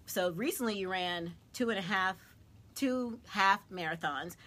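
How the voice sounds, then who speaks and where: A woman hosting a casual interview, chatty and quick to laugh, with lots of filler words. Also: medium pitch, fairly bright tone. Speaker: podcast host.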